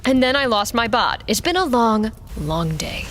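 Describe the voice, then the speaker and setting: A woman's voice: on the high side, big pitch swings, normal speed, a bit bright, slight background noise. A woman playing a weary, sardonic mercenary pilot in an audio drama, talking in a blunt, casual way. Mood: weary, regretful.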